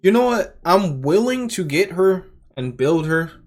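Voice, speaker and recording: A man's voice: high voice, very animated, unhurried. A young man giving casual reaction commentary as a gaming creator, thinking aloud in a conversational way. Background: music.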